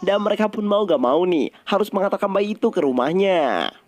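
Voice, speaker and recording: A man's voice: high-pitched, animated, fairly quick, a little mellow. A man narrating a story recap in a casual, colloquial, conversational way.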